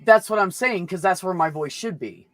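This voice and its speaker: A man's voice: sits high, normal speed, a bit bright, no room sound. A man in a casual group chat, talking bluntly and informally with plenty of swearing.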